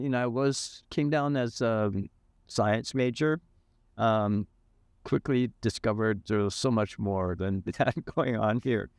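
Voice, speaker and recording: A man in his seventies from California, thoughtfully recalling his past in an interview with many pauses and fillers. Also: lowish voice, darkish tone, dry recording, clean studio sound. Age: 70s. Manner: thoughtful, hesitant with frequent fillers. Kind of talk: reflective interview.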